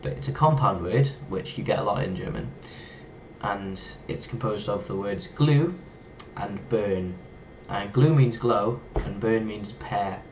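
Playful young Englishman, lowish voice. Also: unhurried, slight background noise, rough recording. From England. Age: young adult. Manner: playful, lively, toys with foreign words. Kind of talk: casual vlog talk to camera. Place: small bedroom indoors.

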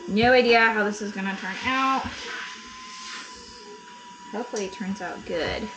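A woman's voice: medium pitch, unhurried, low-quality recording. A woman, chatting casually to the camera while she cooks in her home kitchen. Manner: chatty, talks to kids and dogs between asides. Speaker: vlogger and mother. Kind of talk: casual chat while cooking. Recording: background music.

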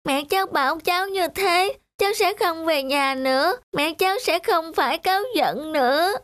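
A woman's voice: very high voice, normal speed, fairly bright tone, no echo. A woman voicing a sad, meek little boy who feels unwanted, in a plaintive cartoon style. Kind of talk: Vietnamese cartoon voice acting.

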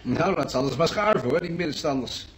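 Radio play role: smug, self-justifying bookkeeper, rather high-pitched, very varied intonation. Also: male voice, expressive, fast talker, a bit bright, slight background noise, rough recording. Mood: scornful. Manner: pompous, self-justifying, repetitive. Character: smug, chatty male bookkeeper, a hospital patient. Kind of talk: Dutch radio drama acting.

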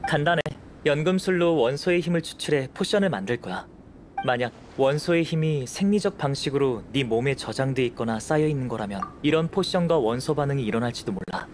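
A man playing a calm, analytical young alchemist in a game, explaining in a measured, composed way. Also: medium pitch, a little hiss or hum, close to an everyday voice. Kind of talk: Korean game voice acting.

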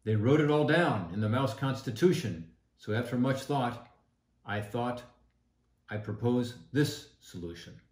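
An American man voicing a wise, elderly mouse senator from a storybook, an earnest peacemaker speaking in deliberate oratory. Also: mid-range voice, lively intonation, a little mellow, natural voice. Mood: earnest, persuasive. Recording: echoey room sound.

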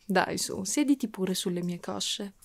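A woman's voice: medium pitch, measured pace, creaky. A woman playing a doting, possessive dragon woman in an intimate ASMR roleplay, cooing and teasing as she lingers on her words. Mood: doting, caring.

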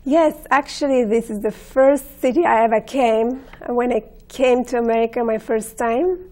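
A woman in her forties from Bulgaria with a Bulgarian accent, a guest in a studio interview, talking warmly and enthusiastically with hesitant restarts. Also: a little high, steady tone, normal speed. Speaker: guest actress. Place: TV studio with blue curtain.

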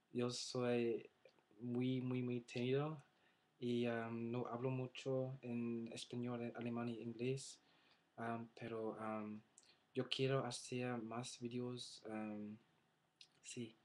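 Young man, language learner, webcam vlog from a bedroom; slow, flat, halting, lots of fillers. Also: mid-range voice. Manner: hesitant, many filler sounds, searching for words. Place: small bedroom indoors. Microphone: laptop webcam.

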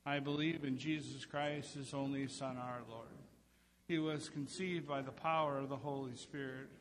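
A man's voice: medium pitch, steady tone, normal speed. A man speaking as a pastor leading a church service and addressing the congregation. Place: large church sanctuary, indoors. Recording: echoey church hall with long reverb.

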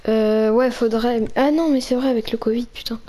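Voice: medium pitch, steady tone, normal speed, crowd noise. A young person improvising in a video game role-play, speaking hesitantly and repeating words. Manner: hesitant, repetitive. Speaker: video creator and player.

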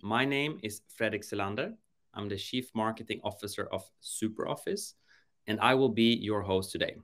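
Male host, 30s, measured moderator, low-set voice, office video call. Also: a bit bright. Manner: measured, friendly moderator with some restarts. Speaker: webinar host. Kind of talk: business webinar conversation.

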